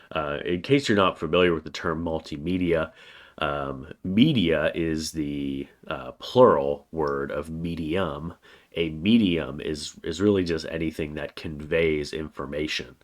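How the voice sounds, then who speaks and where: Very deep-voiced man with a cold. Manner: plain, steady delivery with frequent "uh" fillers. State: has a cold, slightly congested. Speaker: college writing instructor. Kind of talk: recorded course overview lecture.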